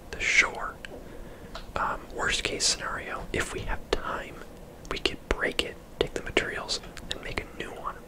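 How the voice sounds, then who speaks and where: A person whispering casual, chatty ASMR commentary while playing a video game. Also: low-quality recording.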